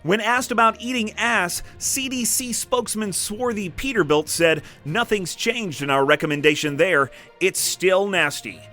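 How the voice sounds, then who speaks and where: A brash male news anchor played by a man delivering a parody bulletin, high-pitched and bright. He is punchy and mock-serious, over faint music. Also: normal speed. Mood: brisk, mock-serious.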